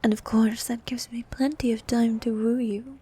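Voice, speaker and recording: A woman voicing a vain, flirtatious sea queen monster in an ASMR roleplay, soft and half-whispered, with a regal, teasing manner. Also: a little high, flat delivery, breathy edge. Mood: teasing, haughty. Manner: regal, teasing, slow and coaxing.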